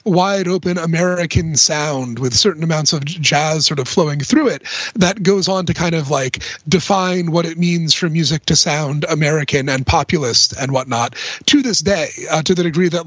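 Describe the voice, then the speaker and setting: A man explaining music informally and somewhat haltingly as a podcast panelist. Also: fairly high pitch, a bit bright, no room sound, muffled recording. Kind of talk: casual music discussion.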